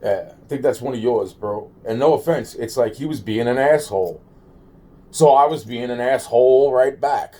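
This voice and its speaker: A man giving a rambling, informal monologue to camera, halting and repetitive, often trailing off and circling back, with slangy, profane wording. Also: mid-range voice, mellow. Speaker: solo video maker.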